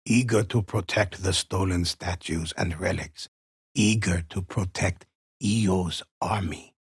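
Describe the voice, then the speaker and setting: An actor playing a grave old male storyteller in a game, narrating a dark legend in a solemn, ominous manner with a gravelly, husky voice. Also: deep voice, no room sound, studio quality, exaggerated voice. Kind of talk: dramatic scripted game narration, folk-tale telling.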